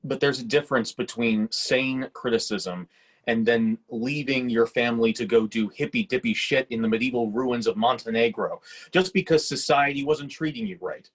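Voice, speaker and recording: A man's voice: medium pitch, normal speed, natural voice. A man playing a resentful, practical grown son in a screenplay reading, blunt and conversational. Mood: sardonic. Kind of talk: screenplay table-read acting.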